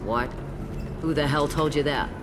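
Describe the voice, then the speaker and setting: An actress voicing a blunt, world-weary old alien matriarch who tends bar in a sci-fi video game, sardonic and no-nonsense. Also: female voice, low-pitched, normal speed, natural voice. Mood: irritated. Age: older. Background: music.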